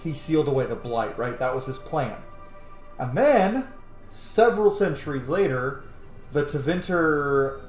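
A man's voice: fairly high pitch, a little mellow, echoey room. A man in his thirties giving casual commentary on a game he is playing, recorded indoors at home. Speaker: gamer narrating a playthrough. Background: game music faintly behind.

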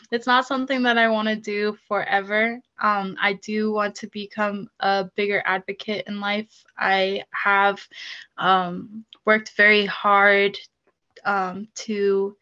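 A young woman speaking casually on a video call from a small room at home, soft-spoken and hesitant with many pauses. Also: medium pitch, muffled recording. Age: young adult. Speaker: guest speaker. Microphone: laptop webcam mic on a video call.

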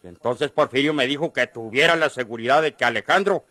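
Radio drama role: folksy, insistent northern Mexican schemer, average-pitched, wheedling, close dry recording. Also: male voice, fairly quick, sharp tone, dry recording. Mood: coaxing. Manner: coaxing, folksy. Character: wheedling, insistent rustic schemer after treasure.

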